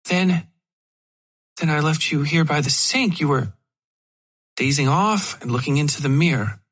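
A man voicing a caring, professional woman in an audio horror story, speaking with measured, placating concern. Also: a little high, fairly bright tone, voice pushed up. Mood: probing, careful.

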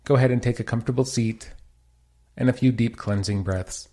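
A man leading a guided meditation as a teacher, with a measured, soothing, instructional delivery. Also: medium pitch, fairly even intonation, warm tone.

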